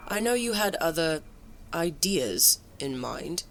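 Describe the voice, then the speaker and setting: A woman playing a confident, blunt army general in a fantasy audio drama, crisp and commanding with pointed formality. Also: deep voice, very animated, slow pace, fairly bright tone, a little hiss or hum, heavily acted. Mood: pointed, defiant.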